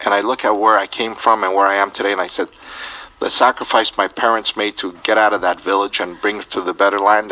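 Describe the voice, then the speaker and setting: Fast-talking eager man, lowish voice. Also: bright, forceful tone, poor audio. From Greece. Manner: fast, eager, repeats words when starting. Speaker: guest, firm representative. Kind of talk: casual radio chat.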